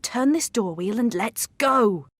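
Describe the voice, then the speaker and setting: High-pitched, scornful, sharp-tongued noblewoman. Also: animated, slow pace. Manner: curt, scornful. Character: stern, exasperated noblewoman, the sharp-tongued elder sister. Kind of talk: video game character acting. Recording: game music behind.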